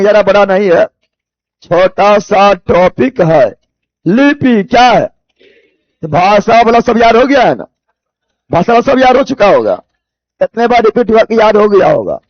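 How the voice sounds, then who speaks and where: A man's voice: high-pitched, dry recording, dull audio. A man from Bihar with a Bihari accent teaching an exam coaching class, repeating key phrases and quizzing his students as he goes. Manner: repeats key phrases, asks students rhetorical check questions. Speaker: teacher for competitive exam general knowledge classes. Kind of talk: live coaching lecture, drilling by repetition.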